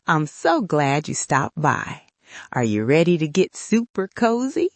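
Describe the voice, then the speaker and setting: A woman's voice: sits low, wildly swooping pitch, unhurried, no echo. An older woman hosting bedtime stories for young children, speaking soothingly and directly to them. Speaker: host and storyteller.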